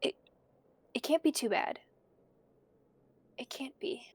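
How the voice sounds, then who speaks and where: An actress playing an anxious woman investigator in an audio drama, talking to herself in a nervous, halting, stammering way. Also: sits high, husky, no room sound, full character voice. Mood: flustered. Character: anxious woman investigating her dead cousin's tapes.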